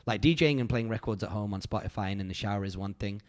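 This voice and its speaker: Podcast host, man in his thirties, low voice, loose rambling delivery with lots of fillers. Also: fast talker, a little mellow. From United Kingdom. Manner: rambling, filler-heavy, earnest and grateful. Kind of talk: casual reflective chat.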